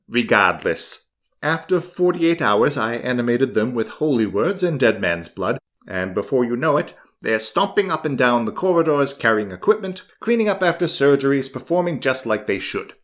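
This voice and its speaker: A man's voice: fairly low pitch, a bit bright. A man voicing a pompous, irritable mad scientist in an audiobook monologue, leaving an exasperated, conversational phone message. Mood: impatient. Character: fussy, irritable mad scientist doctor, a self-absorbed customer. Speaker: audiobook narrator.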